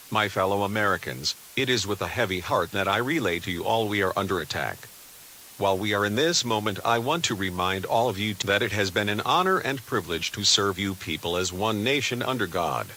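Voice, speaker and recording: A man's voice: on the low side, animated, a little fast, sharp tone, low-quality recording. A man playing a grave, devout US defense official giving a solemn, formal scripted address to the nation. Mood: grave, saddened. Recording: hissy background noise.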